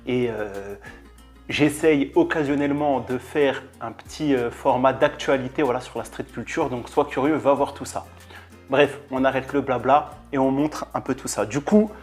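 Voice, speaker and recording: Male video host, mid-pitched voice, informal and conversational, casual product unboxing, music underneath. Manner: informal, chatty, slangy. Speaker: YouTube host. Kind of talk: casual sneaker unboxing vlog.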